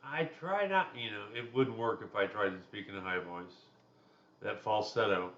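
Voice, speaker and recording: A man's voice: normal speed, a bit bright, echoey room, dull audio. A man, giving a recorded class lecture in a loose, conversational way with personal asides. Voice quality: deep voice. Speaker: instructor.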